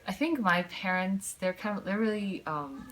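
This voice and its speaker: A young woman student with a low voice, talking casually in an interview and often correcting herself mid-thought. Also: lively intonation, rough recording. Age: young adult. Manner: halting, self-correcting.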